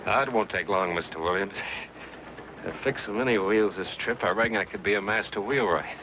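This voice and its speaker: An actor playing a confident, sardonic American frontier gun trader in a Western, with wry, easygoing talk. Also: male voice, on the low side, a little fast, close to an everyday voice. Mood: wry, reassuring. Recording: dull old recording with faint noise.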